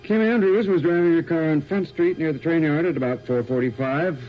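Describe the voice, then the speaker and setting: A man's voice: on the high side, brisk, highs cut off, natural voice. A man playing a matter-of-fact, probing police detective in a radio drama. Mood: grave, factual. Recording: band-limited 1980s radio drama, music behind.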